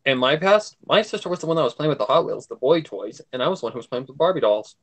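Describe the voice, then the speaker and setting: A man's voice: medium pitch, animated, very fast. A man in casual conversation with a blunt, grumbling way of talking. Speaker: livestream co-host. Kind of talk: casual chat.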